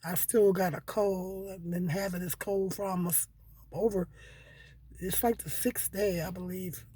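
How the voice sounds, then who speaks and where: A hoarse woman with a cold, talking casually and rambling to her viewers with many repeats and fillers. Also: on the low side, fairly even intonation, unhurried, warm tone, no echo. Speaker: vlogger. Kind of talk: casual solo commentary.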